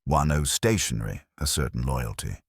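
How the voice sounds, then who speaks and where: Radio play role: composed, prim older woman male performer, dry and exact, very low register, slightly husky. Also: no room sound. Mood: dry, critical. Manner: precise, measured, formal. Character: composed, dryly witty, prim older woman, a music-loving typist.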